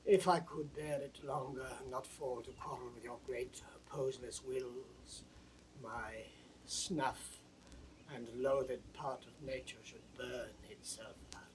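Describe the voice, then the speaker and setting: An older man playing a blinded old nobleman, grave and despairing, in solemn, measured classical Shakespearean stage acting. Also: mid-range voice, fairly even intonation, lightly acted. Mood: anguished resignation. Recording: distant, noisy 1960s studio drama recording.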